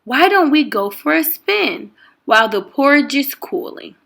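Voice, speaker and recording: A woman's voice: high-pitched, sing-song, measured pace, vocal fry, a bit bright, pitched above the performer's own voice, full character voice. A woman voicing a big old grumpy papa bear in a storybook, exclaiming emphatically in outrage.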